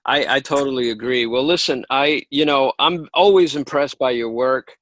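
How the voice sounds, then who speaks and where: A man's voice: mid-range voice, normal speed, a bit bright. A man hosting a casual interview, talking in an encouraging, complimentary way. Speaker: interview host.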